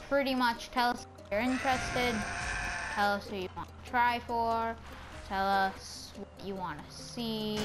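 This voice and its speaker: A woman chatting casually and playfully over gameplay as a channel host, rambling informally. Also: medium pitch.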